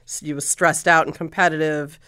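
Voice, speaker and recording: A woman's voice: deep voice, fairly even intonation, fairly quick, sharp tone, smooth. A woman co-hosting an interview podcast, asking thoughtful questions and hedging with ums. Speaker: podcast co-host. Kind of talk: conversational interview.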